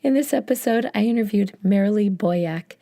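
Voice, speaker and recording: A woman's voice: medium pitch, normal speed, mellow. A woman hosting an interview podcast, with a scratchy voice today, reading her intro and then chatting eagerly with her guest. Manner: enthusiastic, reads intro script then chats. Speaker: podcast host.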